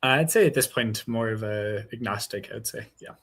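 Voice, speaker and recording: A man calls into a live stream with a fairly low voice. He talks tentatively, stopping often to search for his words with plenty of fillers. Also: moderate pace, a bit bright, husky. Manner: hesitant, lots of fillers, tentative. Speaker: caller. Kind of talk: casual discussion.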